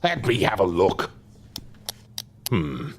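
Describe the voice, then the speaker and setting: An actor playing a calmly authoritative man of the house with a husky voice, in an audio drama. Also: sits low, slow, exaggerated voice. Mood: concerned.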